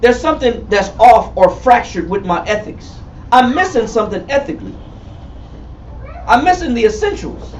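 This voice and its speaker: High-voiced man preaching bible study, heated, repeats himself, echoey far-off lo-fi room. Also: expressive, moderate pace, a little hiss or hum. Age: 40s. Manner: emphatic, repetitive, calls for readings, rising to shouts. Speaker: teacher leading a Bible study.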